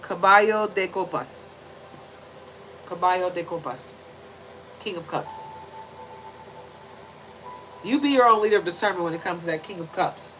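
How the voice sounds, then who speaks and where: A woman's voice: fairly low pitch, lively intonation, moderate pace, pauses often, a bit bright, slight background noise, low-quality recording. A woman in her forties giving a chatty, preachy tarot reading to camera, handling the cards as she talks. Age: 40s. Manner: chatty and preachy, many tag questions; shuffles and lays out cards while talking. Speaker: tarot reader.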